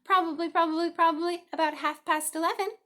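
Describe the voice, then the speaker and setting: A woman's voice: extremely high pitch, steady tone, fast, bright, forceful tone, pitched above the performer's own voice. A woman voicing a fussy motherly goose in a children's storybook, stuttering and repeating her words. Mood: fussy, brisk. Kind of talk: children's storybook read-aloud.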